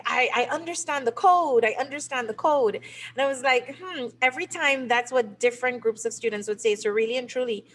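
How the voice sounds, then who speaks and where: A woman's voice: a little high, slight background noise. A middle-aged woman from Trinidad and Tobago, a teacher and game creator, explaining her work in an interview. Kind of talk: interview answers.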